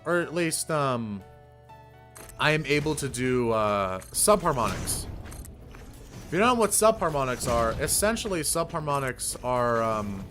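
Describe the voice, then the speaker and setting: A young man streamer chatting casually, rambling with fillers and casual swearing, with a fairly deep voice. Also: very animated, measured pace, fairly bright tone, soft background music. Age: young adult. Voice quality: somewhat deep voice. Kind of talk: casual live-stream chat.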